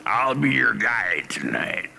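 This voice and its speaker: An actor voicing a crippled, half-dead old coal miner in a video game, a wry guide with a gravelly, folksy, rambling drawl. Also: male voice, on the low side, bright, forceful tone, heavily acted. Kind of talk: character acting in a video game.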